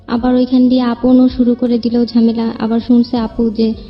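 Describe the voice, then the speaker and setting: Young female guest, Bangladeshi accent, highish voice, informal run-on personal storytelling, music underneath. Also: monotone, normal speed. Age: young adult. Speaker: guest telling her life story. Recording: dull lo-fi, long reverb, music behind.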